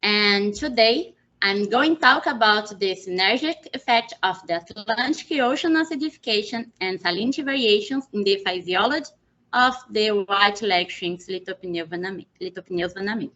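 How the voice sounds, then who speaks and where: A young woman from Brazil with a Brazilian Portuguese accent, speaking halting non-native English as she presents a webinar from a small room. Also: medium pitch, pushed and bright. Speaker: presenter, PhD student. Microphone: video call, laptop mic. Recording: muffled video call audio.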